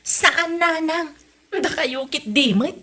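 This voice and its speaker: A woman playing a feisty, evasive village wife who is quick to retort, in an Ilocano comedy drama podcast with an Ilocano accent. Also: high-pitched, expressive, sharp tone, heavily acted. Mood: evasive, reassuring.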